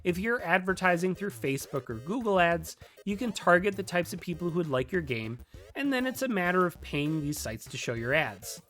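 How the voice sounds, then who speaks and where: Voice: medium pitch, very animated, normal speed, a bit bright. A video host presenting a scripted tutorial in a direct, conversational manner, with casual asides. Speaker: video host and game developer giving a tutorial. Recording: music behind the voice. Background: background music.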